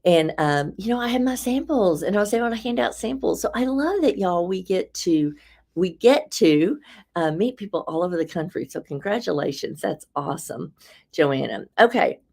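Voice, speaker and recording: Low-voiced chatty woman, a bit raspy. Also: lively intonation, normal speed. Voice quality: slightly raspy. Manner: chatty, rambling, laughs often, warm asides to viewers. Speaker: host presenting beauty products. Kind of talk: casual live product demo.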